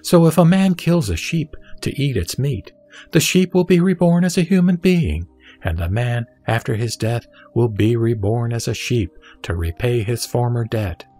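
Measured man reciting scripture over music. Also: medium pitch, expressive, mellow. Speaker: sutra reciter and narrator. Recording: background music under the voice.

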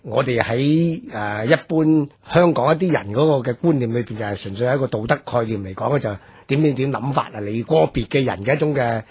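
Man, husky mid-pitched voice, reflective talk, dry close studio sound. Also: fairly quick, hardly any pauses, a little mellow, dry recording. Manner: reflective, frequent agreeing interjections. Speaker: radio discussion guest. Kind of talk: conversational radio discussion. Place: radio studio.

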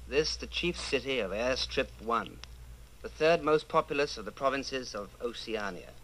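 An actor playing a brooding, fearful middle-aged secret rebel, speaking an intense, halting inner monologue in a radio drama. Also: male voice, mid-range voice, expressive, a bit bright, a little hiss or hum, close to an everyday voice, low-quality recording. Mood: wistful, troubled. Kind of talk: old-time radio dramatization, interior monologue.

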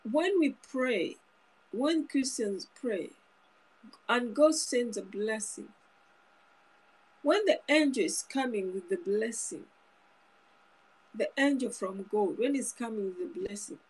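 A woman in her thirties preaches straight into a phone camera held close, her voice a little husky and pitched on the high side. She speaks earnestly, going back over her words and restarting phrases as she makes her point. The sound is close and plain, from a small indoor room. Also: a little mellow, poor audio. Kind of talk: informal sermon to camera.

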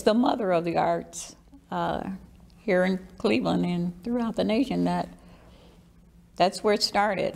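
A woman's voice: mid-range voice, gravelly, darkish tone. A woman reflecting in an interview, hesitant with fillers.

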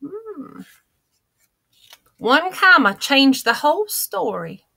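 A high, bright-voiced old granny, put on by a woman, drawling slowly through a picture book in a homespun, folksy way. She lingers on every word, chatty and theatrical. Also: very animated, vocal fry, a little hiss or hum, full character voice. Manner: chatty and theatrical, gasping and exclaiming. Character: folksy old Southern grandma reading aloud. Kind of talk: storybook read-aloud.

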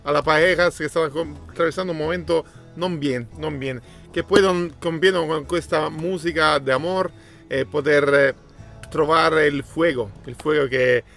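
Halting Italian man, faint music behind. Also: fairly high pitch, fairly even intonation, fairly bright tone. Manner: hesitant, halting, mixes Italian words into his Spanish. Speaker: host of a music reaction channel. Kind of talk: casual chat.

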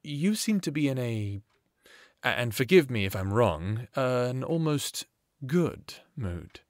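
A dry, close recording of an attentive doctor in an audio roleplay. His medium-pitched voice is measured and polite. He probes gently, like a therapist in session. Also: big pitch swings, dry recording. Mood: curious. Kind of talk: audio roleplay acting, one-sided conversation.

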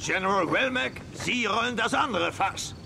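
An actor playing a cautious army general in a Western radio play, commanding but hesitant and grumbling. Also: male voice, sits high, lively intonation, normal speed, gravelly. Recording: heavy background noise.

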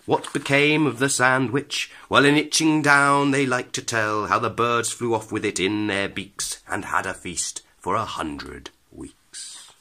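A man's voice: mid-range voice, a little slow, few pauses, pushed and bright. A man narrating a children's storybook in rhyming verse, reading expressively with a dramatic, rhythmic delivery. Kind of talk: expressive scripted read-aloud of rhyming verse.

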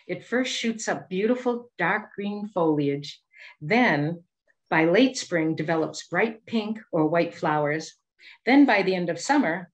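Middle aged boston lady reading out a prepared lesson on a video call, kinda low voice, careful and clear wording. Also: low-quality recording. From Boston area, United States. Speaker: master gardener giving a presentation. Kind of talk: scripted educational slide presentation.

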